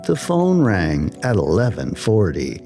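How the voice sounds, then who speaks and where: A man narrating a scripted slow-burn horror story in a measured way with dramatic pauses. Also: on the low side, huge pitch swings, warm tone, faint music behind.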